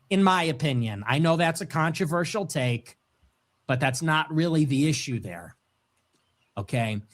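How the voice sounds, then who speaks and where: Man with very animated, swinging pitch. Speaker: commentator in a played clip. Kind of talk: informal opinion monologue.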